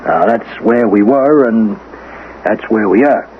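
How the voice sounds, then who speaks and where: A man's voice: medium pitch, a little slow, vocal fry. A weary, patronizing police lieutenant in a 1970s radio mystery. His voice sits in an ordinary middle range, official and defensive, with hesitant hemming. The sound is thin and tinny with faint noise. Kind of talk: 1970s radio drama acting. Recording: tinny, noisy old radio broadcast recording.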